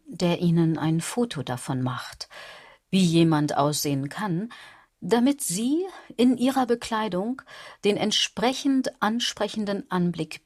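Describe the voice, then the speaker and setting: A woman's voice: fairly low pitch, a little mellow, dry recording, clean studio sound. An actress playing a cool, needling woman in a radio drama, addressing the listener with pointed irony.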